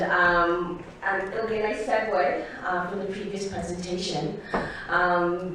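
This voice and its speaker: A woman panelist starting her conference talk in a relaxed, conversational way with plenty of fillers. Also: medium pitch. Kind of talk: conference presentation. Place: conference hall. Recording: echoey hall, distant mic, faint noise.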